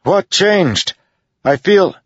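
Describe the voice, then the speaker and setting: A man voicing a defiant young male soldier in an audiobook, shouting and pleading into a storm. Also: sits high, expressive, extremely slow, breathy edge, no echo, muffled recording, voice pushed up, full character voice. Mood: desperate.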